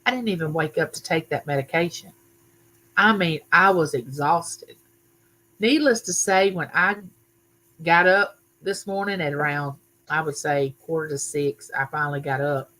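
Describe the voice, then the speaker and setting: Older woman, livestream host; loud, bright, sharp-edged voice, chatty and welcoming by name. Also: sits low, moderate pace, poor audio. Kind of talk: casual live chat with viewers. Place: home room used for filming.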